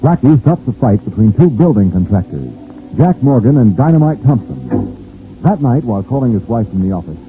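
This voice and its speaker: A man's voice: mid-range voice, sing-song, moderate pace. A man narrating as a dramatic, scripted 1940s radio announcer. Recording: tinny 1940s radio recording. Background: music.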